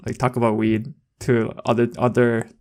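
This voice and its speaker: Young man, creaky voice, podcast co-host, casual slang, rambling delivery. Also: medium pitch, flat delivery, unhurried, a little mellow. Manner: informal, rambling. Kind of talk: casual chat, anecdotes with slang.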